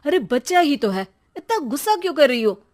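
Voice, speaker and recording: A woman's voice: sits high, sing-song, brisk, darkish tone, voice pushed up. A woman voicing a teasing, put-upon husband and father in a bantering way for a cartoon story. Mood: placating. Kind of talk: Hindi cartoon story dialogue.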